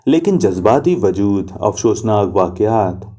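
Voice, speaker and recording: A young adult man tells a literary story in the first person, reading slowly and reflectively. His voice sits low and sounds very dark and mellow. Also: sing-song.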